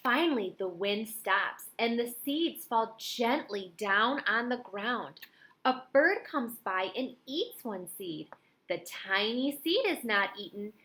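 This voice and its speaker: Woman, fairly high voice, children's read-aloud style, expressive, dramatic emphasis. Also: slow pace. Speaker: storybook reader.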